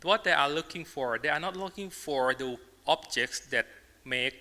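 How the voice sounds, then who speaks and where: Bright-voiced Thai man lecturing in a hall. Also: a little high, normal speed. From Thailand. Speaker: artist and designer giving a symposium lecture. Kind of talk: public presentation in non-native English. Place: large indoor symposium hall. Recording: reverberant hall, amplified talk.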